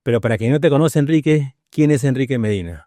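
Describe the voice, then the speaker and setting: A man's voice: medium pitch, fast, warm tone, no echo, clean studio sound. A man hosting a podcast interview, asking long, winding questions and often adding "¿no?". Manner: rambling questions with many "¿no?" tags. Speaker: podcast host.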